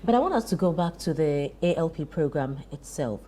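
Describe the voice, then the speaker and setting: A woman's voice: low voice, animated, fairly quick, warm tone, slight background noise. A woman hosting a TV interview, asking questions and giving frequent affirming murmurs.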